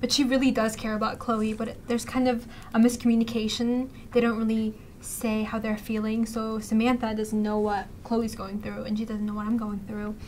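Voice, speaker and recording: A woman's voice: on the high side, little pitch movement, normal speed, a little mellow. A teenage woman, a student actor, answering informally in a casual panel interview. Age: teens. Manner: informal, hedging with "like" and "kinda". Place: small indoor studio. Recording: faint background room noise.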